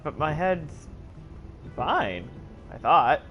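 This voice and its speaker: A man's voice: on the high side, very animated, extremely slow, dull audio, faint music behind. A man streaming a game, thinking aloud in a sarcastic, puzzled way. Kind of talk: casual let's-play commentary.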